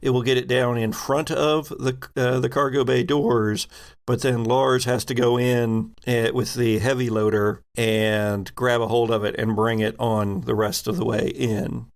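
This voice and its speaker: A man improvising narration as game master of a tabletop role-playing game, halting as he searches for words. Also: medium pitch, little pitch movement.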